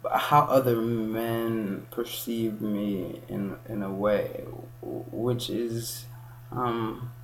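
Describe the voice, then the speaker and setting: A man's voice: lowish voice, steady tone, extremely slow, slight background noise. A young man from Kenya in casual chat, rambling with many restarts and self-corrections.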